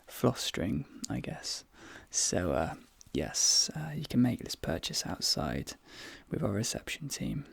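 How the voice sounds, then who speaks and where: A man speaking softly and breathily in an ASMR dentist role play, hesitant and often slipping into whispers. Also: medium pitch, no echo. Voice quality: breathy, soft. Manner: hesitant, halting, drifting into whispers.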